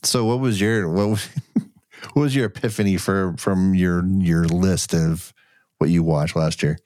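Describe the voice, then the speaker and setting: A man's voice: low-pitched, steady tone, unhurried, dark tone, no room sound, studio quality. A man co-hosting a casual podcast, congested from a lingering cough, loose and profane, laughing often. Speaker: podcast co-host. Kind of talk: casual chat.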